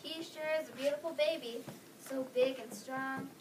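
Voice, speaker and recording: Woman as proud folksy mom, reader's theater, high-pitched, distant and noisy. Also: fairly even intonation, normal speed, natural voice. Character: proud, stern-minded folksy young mother. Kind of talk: reader's theater script reading. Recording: distant mic with clear background noise.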